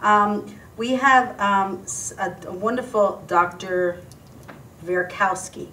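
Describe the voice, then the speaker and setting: Effusive woman host, halting delivery. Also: medium pitch, sharp tone, recorded from a distance, slight background noise, rough recording. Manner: effusive, halting, restarts often. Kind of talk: live web talk show interview.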